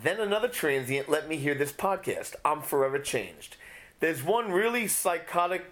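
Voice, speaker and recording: A nasal-voiced man co-hosting a faith podcast, reading listener letters aloud at a middling pitch that moves around a lot. He drops dry jokes between the lines. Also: big pitch swings, normal speed, a bit bright. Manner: dry, joking asides while reading. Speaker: podcast co-host.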